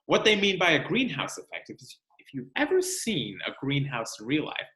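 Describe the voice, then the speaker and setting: A man reading a book aloud to children like a teacher, expressive and instructional, pausing to explain. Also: a little high. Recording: roomy, echoing sound.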